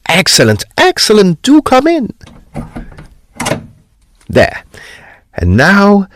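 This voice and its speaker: A man playing a courteous, precise gentleman ghost hunter, telling his gothic tale in a formal, deliberate, expressive way. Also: high-pitched, a little hiss or hum, heavily acted. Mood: courteous, brisk. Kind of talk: dramatised gothic storytelling.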